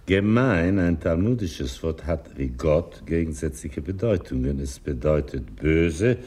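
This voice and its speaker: A wry, patient talmudic teacher in a radio play. The voice is very low and husky, and it teases gently with deliberate, sly phrasing. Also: male voice, expressive, exaggerated voice. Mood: didactic, sly. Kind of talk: theatrical radio play dialogue.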